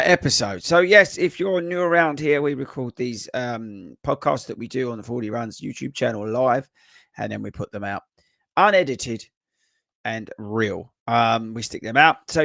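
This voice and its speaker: Croaky running coach on a live stream. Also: male voice, mid-range voice, a bit bright, no echo, clean studio sound. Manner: chatty, rambling with many fillers. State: hoarse, voice just coming back. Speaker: running coach and podcast host. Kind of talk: casual live-stream chat. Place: home desk.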